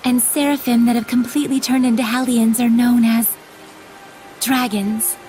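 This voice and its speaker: A woman's voice: on the high side, level tone, normal speed, a little mellow, soft background music, close to an everyday voice. A woman voicing a knowing, composed spirit mentor in a video game, explaining things formally. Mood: grave, instructive. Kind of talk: English game voice acting.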